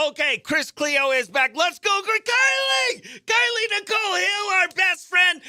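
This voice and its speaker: A man hosting a morning radio show with casual live chat, talking in an excitable, slangy, exclamatory way. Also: very high voice, clean tone, no room sound, studio quality. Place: dry radio studio.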